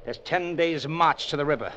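An actor playing a hard-nosed, greedy adventurer in a 1950s radio drama, clipped and melodramatic. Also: male voice, on the high side, normal speed, a bit bright, highs cut off, natural voice. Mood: angry, grim. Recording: vintage 1950 radio, faint noise.

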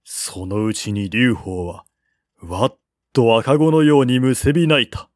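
A man's voice: mid-range voice, expressive, a little slow, no room sound. A man reading a historical novel aloud as scripted literary narration. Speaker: audiobook narrator.

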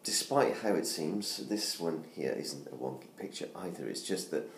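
Hoarse, very deep middle-aged man. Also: animated, normal speed. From United Kingdom. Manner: chatty, self-deprecating, many ums and restarts. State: hoarse from laryngitis. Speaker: author promoting his book. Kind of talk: casual self-made promo video. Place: living room at home by a Christmas tree. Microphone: tablet camera at close range. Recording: roomy home sound with faint noise.

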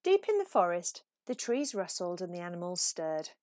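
A woman reading a children's picture book aloud as an expressive, animated storyteller. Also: fairly low pitch, normal speed, no echo, clean studio sound. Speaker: storybook reader.

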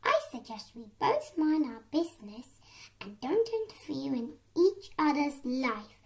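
A young girl voicing a small, patient and clever male snail in a children's story, in a measured way. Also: sits high, big pitch swings, exaggerated voice. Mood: annoyed but calm.

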